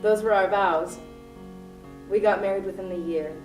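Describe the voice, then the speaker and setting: A woman's voice: mid-range voice, fairly even intonation, moderate pace, close to an everyday voice. Woman acting heartbroken unstable widow in a radio play, dramatic, kinda far from mic, echoey. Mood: tender, wistful. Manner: dramatic, volatile, poetic. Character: distraught grieving widow, armed hostage-taker telling her love story. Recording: roomy distant stage recording with music.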